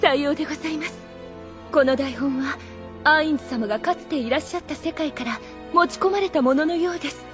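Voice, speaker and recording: A woman's voice: sits high, brisk, over music, close to an everyday voice. A woman playing a devoted, scheming royal retainer with refined, formal speech in an anime audio drama. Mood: eager, persuasive.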